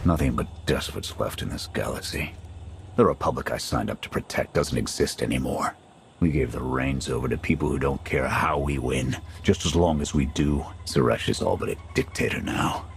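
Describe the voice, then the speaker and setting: An actor playing a weary, principled soldier in a video game, gruff and terse. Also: male voice, extremely low pitch, moderate pace. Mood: weary, bitter. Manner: terse, military, matter-of-fact. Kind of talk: scripted video game drama acting. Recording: faint game ambience and noise.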